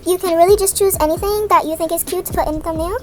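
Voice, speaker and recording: A woman's voice: extremely high pitch, fairly even intonation, quick pace. A young woman giving a casual step-by-step tutorial voice-over as the host of her own video. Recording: voice over background music. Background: background music.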